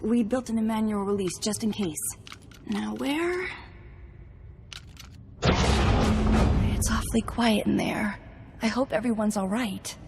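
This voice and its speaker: A woman's voice: medium pitch, takes it slowly, creaky, long pauses, in a large hall, very noisy. An actress playing an anxious, helpful young female scientist on a space station in a video game. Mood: worried, hopeful.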